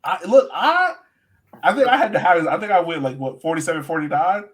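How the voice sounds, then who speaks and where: A man with a slightly high voice hosts a casual soccer podcast. He talks in an informal, emphatic way, like he is chatting with friends. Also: very animated, brisk. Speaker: sports podcast host. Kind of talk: casual chat, soccer analysis.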